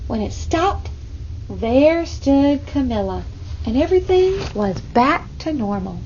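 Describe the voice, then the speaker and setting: A woman's voice: high voice, big pitch swings, slow pace, a little mellow. A woman reading a storybook aloud to children, expressively, with engaging asides to her young listeners. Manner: engaging, asks listeners questions. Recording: muffled, clearly noisy room recording.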